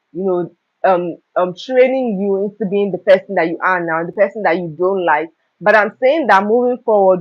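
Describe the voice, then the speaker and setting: A young woman hosting a live stream, talking earnestly about self-improvement and often checking in with "okay?" and "right?". Her throat is slightly hoarse. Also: mid-range voice. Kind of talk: motivational talk on a live stream. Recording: dull lo-fi live stream audio.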